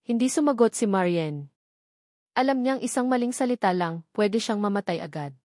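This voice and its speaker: A woman's voice: mid-range voice, lively intonation, moderate pace, a little mellow, dry recording, studio quality. A woman reading a scripted dramatic story as its narrator.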